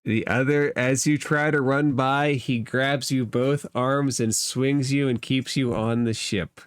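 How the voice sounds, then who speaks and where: A man narrating a tabletop roleplaying game as game master, explaining rules and addressing the players in a measured way. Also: mid-range voice, steady tone, smooth, dry recording.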